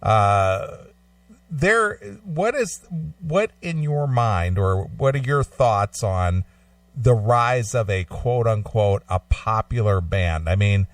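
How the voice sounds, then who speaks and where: Man, radio interviewer, fairly low voice, hesitant wordy phrasing, slightly away from the mic. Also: expressive, slow, dry recording, recorded from a distance. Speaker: radio show host.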